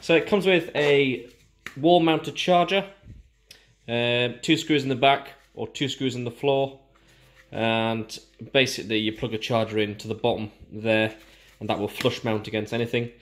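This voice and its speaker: A man with a British accent presents a casual unboxing review. He talks informally, explaining each part as he goes. His pitch sits in an ordinary middle male range. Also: fairly even intonation, moderate pace, a little hiss or hum. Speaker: product reviewer.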